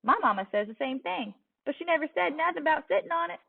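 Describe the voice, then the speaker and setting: Woman as a friendly high-voiced Southern little girl. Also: expressive, fast talker, vocal fry, full character voice. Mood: bold, lilting. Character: friendly, bold young Southern girl in a storybook. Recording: distant, tinny phone-like sound.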